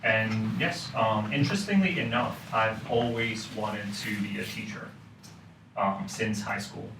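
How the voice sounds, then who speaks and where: A man's voice: lowish voice, measured pace. A man from Hong Kong, a university lecturer, talking thoughtfully as a podcast guest with frequent fillers. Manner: thoughtful, many "um" and "you know" fillers. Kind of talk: casual podcast conversation. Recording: roomy, distant mic with faint noise.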